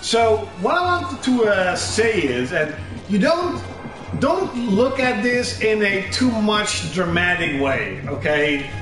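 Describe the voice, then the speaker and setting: A young Dutch man talks in a strong Dutch accent, his voice sitting high and his delivery slow and unhurried. He rambles and restarts, dropping fillers and the odd curse. The room is echoey, with music playing clearly beneath him. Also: very animated, a bit bright. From Netherlands. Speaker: gaming channel host. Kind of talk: casual personal monologue. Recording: roomy echo with music underneath.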